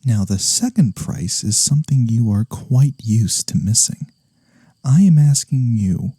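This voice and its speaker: A man playing a gentle, honorable incubus demon in a soft-spoken ASMR fantasy roleplay, measured, formal and softly teasing. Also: mid-range voice, breathy edge, dry recording, exaggerated voice.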